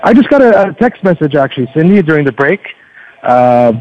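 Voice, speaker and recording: Affable podcaster guy, voice a bit high, stumbles along casually, dull internet radio sound. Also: sing-song, brisk. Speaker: podcaster guest plugging his show. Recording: muffled internet radio connection.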